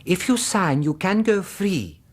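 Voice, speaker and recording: A man's voice: high voice, animated, unhurried. An actor playing an earnest, fussy middle-aged Greek interpreter, telling his story formally and precisely in a radio drama. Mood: earnest, animated. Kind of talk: radio drama narration and dialogue.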